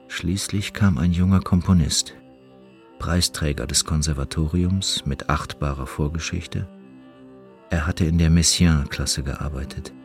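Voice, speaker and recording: Male narrator, extremely low voice, formal literary read with music underneath. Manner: measured, formal reading. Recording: music playing behind the voice.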